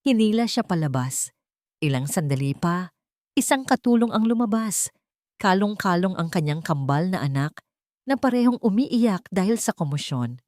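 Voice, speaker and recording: Low-voiced woman narrating a dramatic story. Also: lively intonation, moderate pace, a little mellow, no echo, studio quality.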